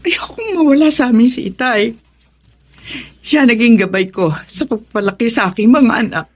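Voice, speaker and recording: A woman's voice: on the high side, moderate pace, lightly acted. An actress playing a devout, principled and devoted single mother in a Filipino radio drama, speaking earnestly. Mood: troubled, firm.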